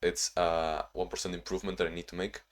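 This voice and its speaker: A man's voice: low voice, level tone, fast. A young man from Spain and Ukraine, not a native English speaker, talking casually to his own camera in a rambling, self-reflective way with many restarts, tired from little sleep. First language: Spanish or Ukrainian. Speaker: vlogger.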